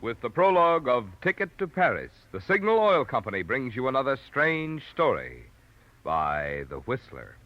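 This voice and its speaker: A man's voice: medium pitch, sing-song, normal speed, no room sound, soft background music. A man with an American accent reading a scripted sponsor message as a polished, emphatic 1940s radio announcer. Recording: muffled old radio broadcast recording.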